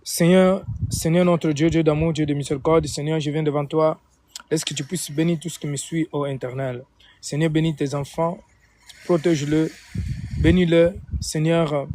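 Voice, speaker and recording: Man, slightly high pitch, Angolan Portuguese accent, native Portuguese speaker, informal preacher, repetitive phrasing. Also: darkish tone, slight background noise. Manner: repeats and rephrases his phrases. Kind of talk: informal live-stream preaching and prayer.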